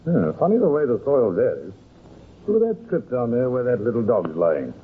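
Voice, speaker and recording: An actor playing a brisk, practical male detective in an old-time radio crime drama, matter-of-fact and used to giving orders. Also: medium pitch, big pitch swings, breathy edge, phone-quality sound. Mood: curious, practical. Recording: thin, noisy old radio recording.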